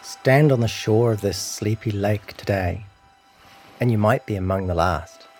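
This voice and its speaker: A man's voice: lowish voice, unhurried, a little mellow. A man reading a scripted longform article as narrator. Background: guitar music.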